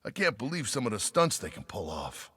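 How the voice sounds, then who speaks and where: A raspy, animated man's voice in a reverberant space, as an exasperated soldier. He gripes casually and incredulously, in video game dialogue with swooping pitch. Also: a little fast, heavily acted. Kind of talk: naturalistic game dialogue acting. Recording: long reverb of a large room.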